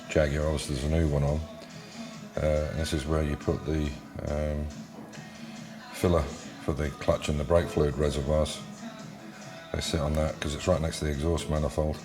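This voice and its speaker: A man's voice: extremely low pitch, little pitch movement, normal speed, echoey room, music in the background. An English man hosting a casual car restoration vlog, rambling through his workshop with restarts and fillers. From England. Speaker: classic car restorer and channel host. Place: garage workshop.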